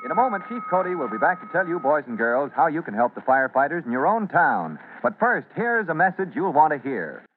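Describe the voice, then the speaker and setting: A man's voice: a little high, sing-song, fairly quick, few pauses. A man narrating as a theatrical, emphatic announcer for an old-time radio serial. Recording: tinny, noisy old radio broadcast.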